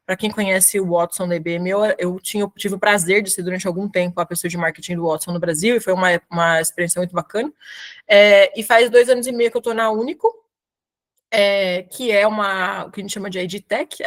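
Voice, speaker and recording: A woman's voice: medium pitch, fairly bright tone. A woman in her thirties with a São Paulo accent, giving a casual, slangy talk with lots of fillers as a guest at an online community session. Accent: São Paulo Portuguese. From São Paulo, Brazil. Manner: chatty, informal slang, frequent fillers. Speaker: guest speaker presenting to a professional community. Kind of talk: casual live webinar talk.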